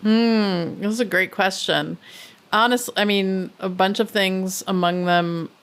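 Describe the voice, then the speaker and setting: A woman's voice: medium pitch, fairly even intonation, unhurried, clean tone. A woman from New York, a native Italian speaker, chatting casually and easily as a podcast guest. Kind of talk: casual chat.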